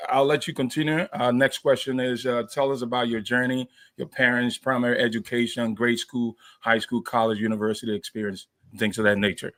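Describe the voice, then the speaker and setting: A man hosting a podcast interview, talking haltingly with many fillers. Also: medium pitch, level tone, a bit bright. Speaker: podcast host. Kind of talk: interview introduction.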